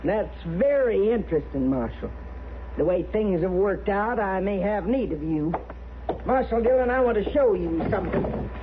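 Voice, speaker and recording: An actress playing a formidable widow in a 1950s radio western, deliberate and theatrical. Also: female voice, mid-range voice, expressive, lightly acted. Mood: cool, threatening. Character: formidable widow, coy then coolly menacing. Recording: tinny, noisy old radio recording.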